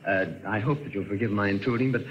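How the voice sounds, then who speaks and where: An actor playing a polite, flustered inventor in an old radio drama, formal and a little hesitant. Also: male voice, fairly low pitch, quick pace, highs cut off, close to an everyday voice. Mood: flustered, eager. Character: eager, flustered, polite male inventor showing off his robot. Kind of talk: 1940s radio acting.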